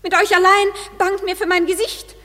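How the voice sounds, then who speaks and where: A plaintive young woman in an old radio play. Her voice is high, and it rings in a reverberant hall. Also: little pitch movement, normal speed, pushed and bright, soft background music. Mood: pleading. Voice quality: plaintive, wounded. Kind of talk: classic radio play acting.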